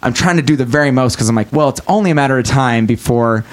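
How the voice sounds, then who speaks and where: Brief: man, podcast guest, candid and self-mocking, laughs a lot, swears, quick bursts when quoting himself. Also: medium pitch. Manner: swears casually, speeds up when acting out his thoughts. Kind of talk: casual chat.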